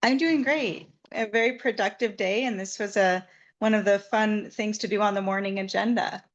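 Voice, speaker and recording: A woman's voice: medium pitch, steady tone, normal speed, dull audio. A woman from Southern Nevada, a massage therapist, telling her story in an interview in an earnest, reflective way.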